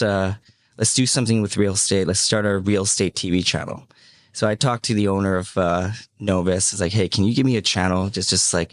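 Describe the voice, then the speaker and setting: A man in his thirties who grew up in Hong Kong, a podcast guest telling his life story in a casual, halting way, full of "um" and "you know". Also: sits low, dry recording, studio quality. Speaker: podcast guest, media entrepreneur.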